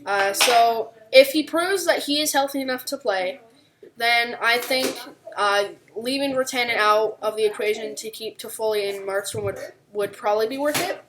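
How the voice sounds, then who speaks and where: Woman on a sports podcast, kinda high voice, unscripted analysis talk. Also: moderate pace, background noise. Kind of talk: unscripted hockey analysis discussion.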